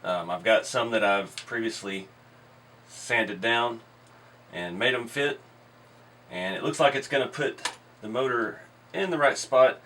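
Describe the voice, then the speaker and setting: A hoarse man hosting a hobby channel, chatting casually while he works on a model build in his workshop. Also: mid-range voice, measured pace, recorded from a distance, a little hiss or hum. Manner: relaxed, explains while working with his hands. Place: indoor garage workshop.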